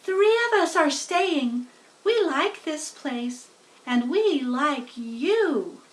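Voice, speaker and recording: A woman's voice: sits high, lively intonation, drawn-out words, far from the mic, pitched above the performer's own voice, full character voice, rough recording. A woman voicing tiny young female spiders, friendly and dainty, with small, polite sing-song voices in a storybook reading. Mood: friendly, eager.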